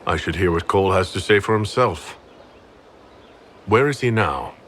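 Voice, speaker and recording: An actor playing a composed, questioning heroic leader in a video game, wry and thoughtful. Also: male voice, sits low, lively intonation, moderate pace, fairly bright tone, a little hiss or hum. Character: composed, questioning young male hero leader. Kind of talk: dramatic video game acting.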